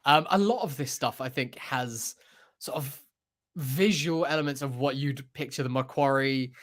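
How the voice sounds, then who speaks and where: A man's voice: mid-range voice, a little slow, fairly bright tone. A man chatting casually about pop culture as a podcast host, hesitant and thinking aloud as he goes.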